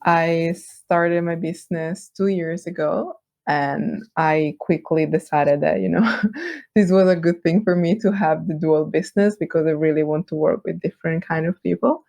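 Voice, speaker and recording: A woman with an Italian accent whose first language is Italian, chatting as an interview guest, friendly and quick to laugh. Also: lowish voice, vocal fry, warm tone, no echo. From Italy. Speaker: guest, brand designer. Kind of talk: casual interview chat.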